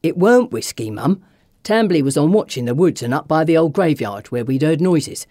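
A rough-spoken working-class man, worried and grumbling in dialect, as a woman reader puts him on. His pitch rises and falls widely over a dry, close sound. Lower than the woman's own voice, with a changed timbre. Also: lively intonation, normal speed, mellow, no echo. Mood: insistent.

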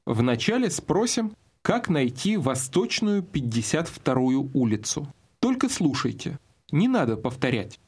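Male lesson narrator, slightly husky, lively pitch, clear guiding prompts. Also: a little slow. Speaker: language course instructor. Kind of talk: scripted instruction.

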